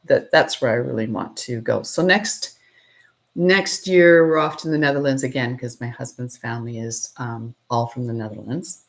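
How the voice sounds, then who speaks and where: A middle-aged woman whose voice sits very low and carries a gravelly fry. She chats in a relaxed way, laughs often and thinks aloud while she works with her hands. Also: moderate pace. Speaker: potter and channel host. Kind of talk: casual live-stream chat.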